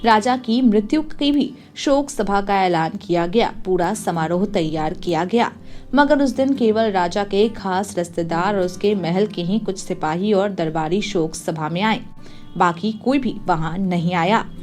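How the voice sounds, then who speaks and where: A woman's voice: medium pitch, a little slow, a little mellow. Woman narrating a moral story, music behind.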